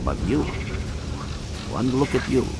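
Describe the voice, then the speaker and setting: An actor playing the earnest leader of a secretive research faction in a video game, explaining in a measured way. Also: male voice, mid-range voice, sing-song. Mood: grave, persuasive. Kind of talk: video game character dialogue, expository. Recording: clearly noisy ambient background.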